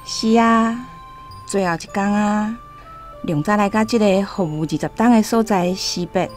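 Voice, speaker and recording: A woman's voice: medium pitch, measured pace, a little mellow, faint music behind, lightly acted. An actress with a Taiwanese accent playing an earnest, dutiful young woman in a radio drama, with a polite manner. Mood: polite, wistful.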